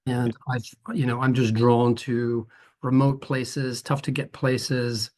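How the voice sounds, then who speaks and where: A man's voice: mid-range voice, level tone, takes it slowly, a little mellow. Thoughtful middle-aged Dutch-born man. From Netherlands. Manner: rambling, thoughtful, with frequent restarts. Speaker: guest photographer. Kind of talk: conversational interview.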